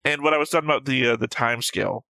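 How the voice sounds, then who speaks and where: A man's voice: medium pitch, fairly even intonation, very fast, bright, forceful tone, no echo. A man giving casual, analytical reaction commentary as a show host, picking at details. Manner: analytical, nitpicking.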